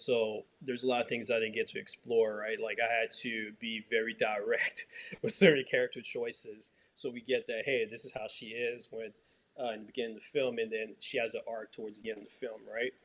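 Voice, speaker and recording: Haiti-raised filmmaker, hesitant, thoughtful interviewee, mid-low voice, slightly muffled recording. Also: male voice, a bit bright. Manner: thoughtful, hesitant with many fillers and restarts. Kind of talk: interview answers.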